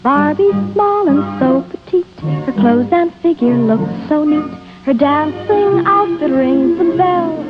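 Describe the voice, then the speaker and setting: High female singing voice, vintage ad jingle. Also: animated, takes it slowly, few pauses. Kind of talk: singing a 1950s television commercial jingle. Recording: dull vintage TV ad, loud music.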